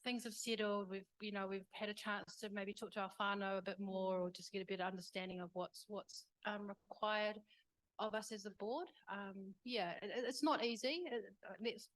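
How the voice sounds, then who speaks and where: A woman, a school board member, speaking haltingly with Māori words mixed in. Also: medium pitch, fairly even intonation, no echo, poor audio. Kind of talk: lecture Q&A. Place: parish hall.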